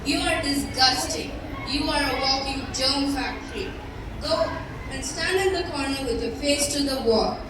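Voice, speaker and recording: Child acting a cruel high-voiced headmistress, distant and echoey. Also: measured pace. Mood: scornful. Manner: scornful, imperious, theatrical. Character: cruel, bullying headmistress who despises children. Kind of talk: school stage play acting. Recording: roomy far-off stage recording, noisy.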